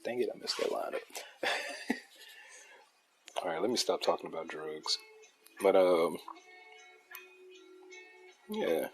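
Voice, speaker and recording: Male streamer-artist, very low soothing voice, relaxed rambling chat. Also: slow pace, vocal fry, pauses often, soft background music. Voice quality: deep, soothing voice. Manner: laid-back, loose and chatty, with laughs and restarts. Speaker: artist drawing a comic on a livestream. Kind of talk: casual rambling chat while drawing.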